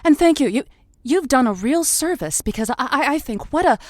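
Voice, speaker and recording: An actress playing an earnest, theatrical, easily wounded radio matchmaking host with dramatic, halting phrasing. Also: female voice, sits high, lively intonation, darkish tone, no echo, clean studio sound. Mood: sympathetic, solemn.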